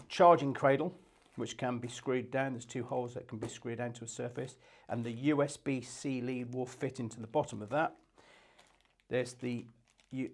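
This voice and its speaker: A man giving an unscripted product demonstration in a halting, matter-of-fact way, going through features piece by piece. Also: mid-range voice, gravelly. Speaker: shop product presenter.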